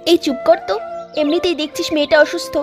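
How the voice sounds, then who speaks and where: A woman's voice: high-pitched, little pitch movement, fast talker. A woman voicing a caring, pleading village mother in a horror cartoon. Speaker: voice actor for mother and young girl. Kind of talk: cartoon voice acting. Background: music.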